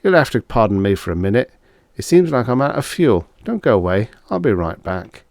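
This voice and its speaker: A man's voice: lowish voice, sing-song, fairly quick, darkish tone, dry recording, clean studio sound, voice pushed up. A man voicing a tipsy, jovial, brash gambler in an audiobook, with slangy, folksy bravado. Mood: apologetic, tipsy. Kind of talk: audiobook character voice.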